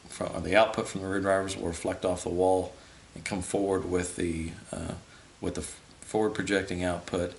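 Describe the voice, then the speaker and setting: A man's voice: sits low, creaky. A man in his fifties giving an informal, matter-of-fact technical explanation in a small room, speaking off the cuff to a camera a few feet away. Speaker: host explaining audio equipment. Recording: steady background hiss.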